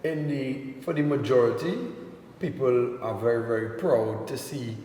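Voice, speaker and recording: A man from Trinidad and Tobago with a Trinidadian accent, chatting casually as an interview guest, relaxed and quick to laugh. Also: medium pitch. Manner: relaxed, laughs easily, slows down to speak carefully. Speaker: entertainer guest. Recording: echoey room with long reverb, faint noise.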